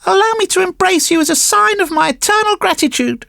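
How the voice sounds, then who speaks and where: A woman's voice: very high voice, expressive, fairly quick, vocal fry, fairly bright tone, no echo, studio quality, voice pushed up, full character voice. A woman voicing an earnest, polite wooden marionette boy in a storybook, speaking in formal, emphatic exclamations. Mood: grateful, caring.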